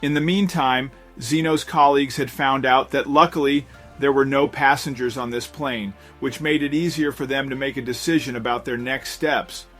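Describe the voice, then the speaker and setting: A man's voice: medium pitch, steady tone, normal speed, fairly bright tone. A man narrating a scripted suspense story in a dramatic storytelling manner. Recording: voice-over with music underneath. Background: background music.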